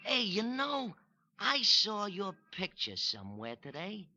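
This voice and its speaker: An actor playing a chatty, nosy newsstand vendor in a 1940s radio crime drama. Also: male voice, high-pitched, huge pitch swings, a little slow, sharp tone, pitched above the performer's own voice, heavily acted. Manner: nosy, talkative, hesitant. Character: chatty male newsstand vendor, middle-aged. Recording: dull lo-fi vintage radio recording.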